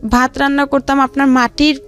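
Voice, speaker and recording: A woman's voice: high-pitched, little pitch movement, normal speed, fairly bright tone. A woman telling her life story informally as an interview guest, rambling along step by step. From Rangpur region, Bangladesh. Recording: music behind the voice. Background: background music.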